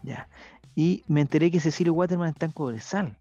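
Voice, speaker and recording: A man's voice: on the high side, fairly quick, mellow, highs cut off. A Chilean man hosting a casual football podcast, joking and slangy as he hands out the questions. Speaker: host.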